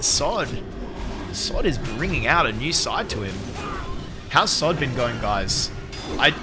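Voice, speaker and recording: A man live-streaming a game and chatting to viewers in an excitable, swearing way, recovering from a lost voice. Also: mid-range voice. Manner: excitable, swears, shouts out chat members. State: recovering from losing his voice. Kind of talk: casual gaming stream chat.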